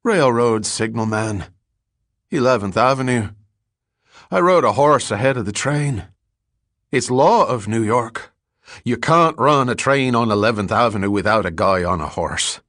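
A man's voice: on the low side, lively intonation, measured pace, a bit bright, no echo, clean studio sound. A man voicing a ragged, dazed drifter in an audiobook story, bitter and rambling, with repetitive, slangy street talk. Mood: wistful rambling.

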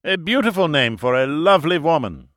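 A man's voice: fairly high pitch, big pitch swings, moderate pace, pushed and bright, dry recording, clean studio sound, voice pushed up. A man voicing a big, jovial older German expatriate in an audiobook, with a German accent and falsely gallant manners. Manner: hearty, effusive, falsely courteous.

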